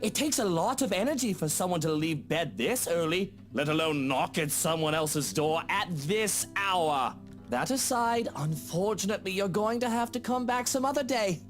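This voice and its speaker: A sneering upper-class British husband in a visual novel game. His voice sits fairly high and swoops widely as he drawls with sardonic condescension, dismissive and suspicious, over soft guitar music. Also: lively intonation, moderate pace.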